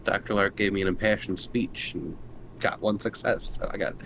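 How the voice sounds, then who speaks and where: A man's voice: fairly low pitch, steady tone, moderate pace, fairly bright tone, slight background noise, poor audio. A man chatting casually as a player at a tabletop game.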